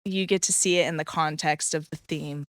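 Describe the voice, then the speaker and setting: A woman band singer talks about songwriting with candid enthusiasm. Her voice sits a little low and is smooth and clear, recorded close and dry. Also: steady tone, brisk, no room sound. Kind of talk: casual interview chat.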